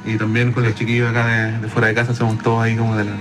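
A Chilean man chats casually as a musician guest. He talks quickly in a mid-range voice. Noticeable noise runs behind him. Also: medium pitch, monotone. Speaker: synth player and producer, interview guest. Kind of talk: casual chat.

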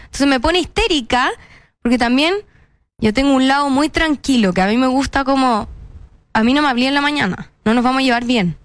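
A woman's voice: high voice, fairly quick, no room sound. A young woman with a Chilean accent, chatting casually and expressively as an interview guest, often repeating words for emphasis. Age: young adult.

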